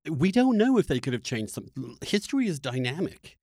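A man's voice: a little high, sing-song, brisk, a little mellow, dry recording, clean studio sound. A man arguing emphatically in a heated political debate, pressing his points and cutting in. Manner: emphatic, argumentative, interrupting. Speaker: podcast host debating a guest.

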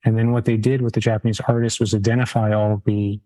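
A man's voice: lowish voice, level tone, fast, warm tone, rough recording. A man hosting a casual interview, talking in long rambling sentences with restarts. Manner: rambling, many restarts and trailing phrases. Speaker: interview host.

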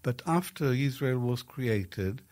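An older man from Iraq, speaking slowly and deliberately in an interview clip, with frequent pauses. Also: mid-range voice, lively intonation, darkish tone.

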